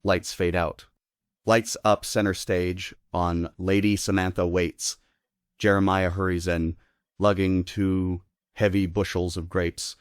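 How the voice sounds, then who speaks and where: Deep-voiced man reading stage directions. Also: level tone, slow pace, clear, resonant tone, no room sound, clean studio sound. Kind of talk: scripted narration.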